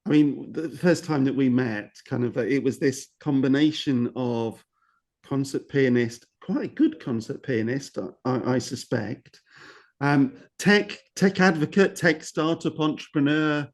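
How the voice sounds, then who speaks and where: A man's voice: mid-range voice, a little mellow. A man hosting a podcast interview, asking long, rambling questions full of restarts and repeated words. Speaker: podcast host.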